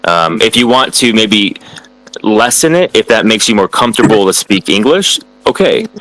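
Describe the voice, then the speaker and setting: A man's voice: on the low side, sing-song, moderate pace, fairly bright tone, no room sound, poor audio. An American man answering students' questions in a casual online chat, hesitant and full of fillers and restarts. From United States. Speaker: guest speaker answering students.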